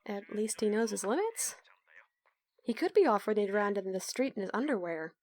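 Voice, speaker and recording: A woman playing a sardonic, self-assured young team mastermind in an audio roleplay, with a dry, measured delivery. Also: mid-range voice, animated, close to an everyday voice. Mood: sarcastic.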